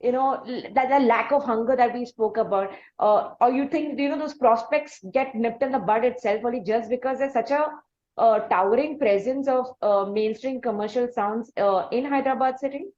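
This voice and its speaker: A woman with an Indian accent hosting an interview, asking long questions in a halting, conversational way. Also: fairly high pitch, darkish tone. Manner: halting, long-winded questions full of pauses. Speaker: podcast host, interviewer. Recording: dull, lo-fi audio.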